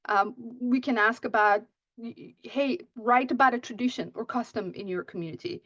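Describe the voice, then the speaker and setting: A woman's voice: a little high, moderate pace, a bit bright, no echo. A woman whose first language is Persian, giving a conference talk on teaching writing, often asking "right?" and restarting her sentences. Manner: frequent "right?" checks, many restarts. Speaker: writing instructor. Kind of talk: conference presentation. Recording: muffled dry audio.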